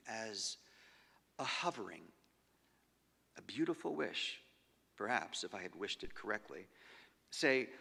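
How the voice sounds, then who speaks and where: A man giving a measured, expressive stage reading of diary entries, sinking to near-whispers at the ends of phrases. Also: medium pitch, creaky. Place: large concert hall. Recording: long hall reverb.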